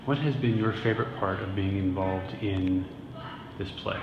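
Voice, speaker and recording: A man's voice: deep voice, normal speed, vocal fry, muffled recording, noisy background. A man asking casual interview questions and giving short acknowledgements. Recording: crowd chatter in the background.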